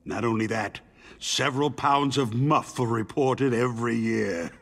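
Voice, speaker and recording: A man voicing a wounded, cynical arms company executive in a video game, with a creaky voice and a weary, condescending way of explaining things. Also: medium pitch, a little slow. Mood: grim, explanatory. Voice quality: creaky, gravelly.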